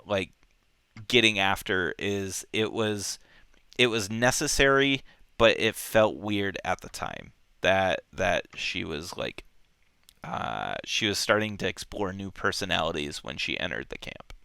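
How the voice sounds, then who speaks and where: A man's voice: low-pitched, big pitch swings, measured pace, creaky, bright, forceful tone, clear, resonant tone, no room sound, studio quality. A man casually discussing a book as a host, rambling and thinking aloud as he goes. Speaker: book club podcast host.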